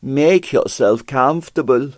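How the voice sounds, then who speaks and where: A man's voice: mid-range voice, measured pace, a little mellow, dry recording, studio quality, close to an everyday voice. A man voicing a vain, fawning Russian male ballet dancer in an audiobook, with an effusive theatrical manner. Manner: effusive, theatrical, self-regarding. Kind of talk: acted audiobook character.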